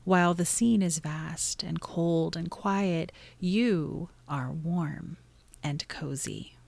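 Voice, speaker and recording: A woman narrating a guided meditation in a soothing, gently instructive way, pausing between short phrases. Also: low voice, vocal fry, no room sound.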